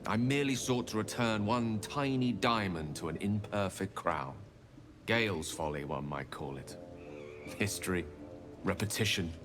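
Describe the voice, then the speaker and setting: An actor playing a scholarly, guilt-ridden wizard in a video game, speaking in an eloquent, measured, theatrical storytelling manner. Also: male voice, sits low, big-room sound. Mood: rueful. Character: wistful, guilt-ridden scholarly wizard man telling his past. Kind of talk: dramatic video game dialogue. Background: music.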